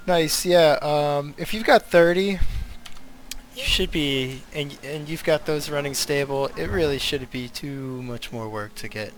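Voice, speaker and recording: A man's voice: a little high, a little slow, slight background noise. A man giving casual gameplay commentary as a streamer, rambling and thinking aloud as he goes. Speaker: game streamer. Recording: game music behind the voice. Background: video game music.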